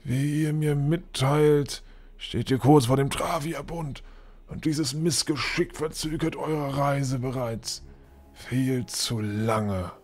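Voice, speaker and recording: A man playing a courteous, generous gentleman of authority in a fantasy audio drama, formal and measured. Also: medium pitch, steady tone.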